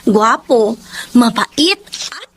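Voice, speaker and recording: A young Filipina in a radio drama, coy and headstrong. Her voice sits high and swings playfully up and down. There is faint noise behind her. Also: expressive, extremely slow, heavily acted. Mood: smitten, insistent.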